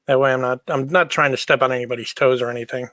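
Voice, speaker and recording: A man's voice: medium pitch, fairly even intonation, fast, fairly bright tone, no room sound, studio quality. A man chatting casually on a livestream, easygoing and informal as he replies to viewers. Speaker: livestream host.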